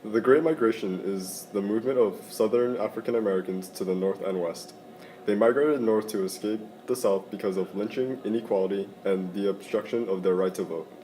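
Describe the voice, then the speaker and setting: A young male student reading a history class project aloud in a flat, subdued way, recorded in a classroom. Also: lowish voice, brisk. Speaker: student presenter. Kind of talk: reading a school project narration. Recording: roomy classroom with faint background noise.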